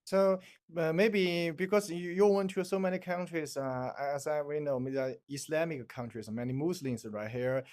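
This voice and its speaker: Halting man searching for words. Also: fairly high pitch, darkish tone. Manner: hesitant, halting, searches for words with many fillers. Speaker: channel host. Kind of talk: casual interview introduction. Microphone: video call.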